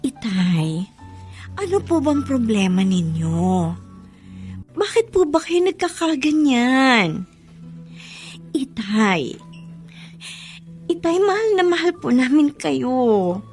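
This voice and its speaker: An actress playing a worried, loving and respectful adult daughter in a Filipino radio drama. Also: female voice, high voice, big pitch swings, slow, pauses often, a little mellow, exaggerated voice. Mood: worried, pleading. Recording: prominent music under the voice.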